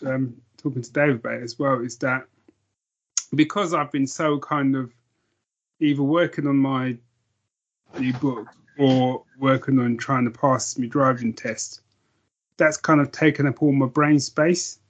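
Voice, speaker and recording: A man with a husky, slightly muffled voice chats easily on a podcast. He rambles in a relaxed, self-deprecating way. The sound is a little dull. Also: mid-range voice, unhurried. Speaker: podcast co-host. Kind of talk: casual chat.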